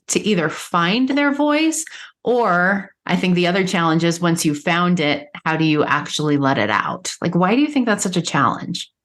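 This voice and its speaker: A woman hosting a business podcast, talking in a relaxed, friendly way with quick asides. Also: on the low side. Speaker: podcast host. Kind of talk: conversational podcast interview.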